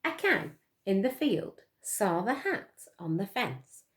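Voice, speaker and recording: Female storyteller for young children: very lively pitch, slow pace, expressive and inviting questions. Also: a little hiss or hum. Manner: expressive, dramatic gasps and questions to listeners. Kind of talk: animated picture-book storytelling to young children.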